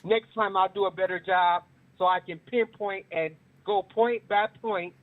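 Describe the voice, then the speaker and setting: A man's voice: sits high, fairly even intonation, measured pace. Caller guy on a tinny phone line, preachy, keeps repeating words. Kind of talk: call-in radio comment.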